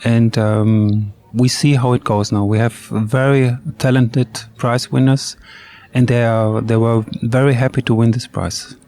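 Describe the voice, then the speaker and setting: A man's voice: medium pitch, steady tone, measured pace, warm tone. Hesitant German-accented man. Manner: hesitant, many ums and uhs, slightly imperfect English. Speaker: orchestra bassist, guest. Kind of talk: interview answers. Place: outdoor courtyard.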